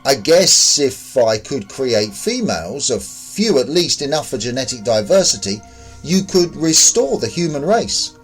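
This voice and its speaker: A man's voice: mid-range voice, sing-song, measured pace, faint music behind. A man voicing a detached, curious alien visitor in a story, formal and literal in manner. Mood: tentative.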